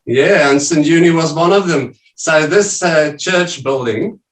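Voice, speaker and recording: A man's voice: fairly high pitch, a little slow, roomy sound, recorded from a distance. A South African man presenting to a church group over a video call, speaking hesitantly with many fillers. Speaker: visiting church leader presenting. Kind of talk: casual talk on a video call.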